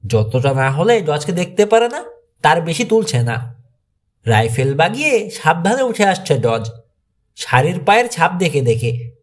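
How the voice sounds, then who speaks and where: A man reading a mystery thriller audiobook as a dramatic scripted narration. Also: high voice.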